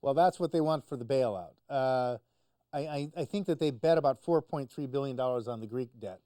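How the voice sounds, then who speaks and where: A man's voice: mid-range voice, a little fast, dark tone, no echo. A man explaining the news conversationally as a talk show commentator, in a hesitant, informal way.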